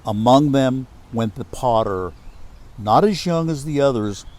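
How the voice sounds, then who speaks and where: Male storyteller for literary prose: unhurried, relaxed dark timbre, measured phrasing. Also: medium pitch. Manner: measured, deliberate phrasing with pauses. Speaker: reader of a literary myth. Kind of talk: expressive storytelling, reading prose aloud.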